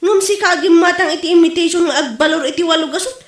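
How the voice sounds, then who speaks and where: A woman's voice: very high-pitched, quick pace. A woman with an Ilocano accent playing a proud, indignant wife in a comedy drama, boasting and scolding. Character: proud, indignant wife boasting of her genuine luxury bag. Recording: roomy, echoing sound.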